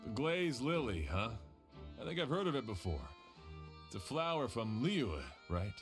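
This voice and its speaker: An actor playing a courteous, slightly puzzled official in a video game, speaking in a measured, thoughtful way. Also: male voice, medium pitch, very animated, music in the background, close to an everyday voice. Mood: musing.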